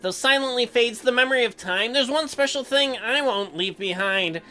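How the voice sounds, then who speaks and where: Woman playing a bright-voiced, eager young husband. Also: a little high. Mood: tender, poetic. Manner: earnest, halting, self-deprecating. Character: eager, apologetic young newlywed husband, sweet on his wife. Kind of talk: visual novel dialogue read aloud. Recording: music behind the voice.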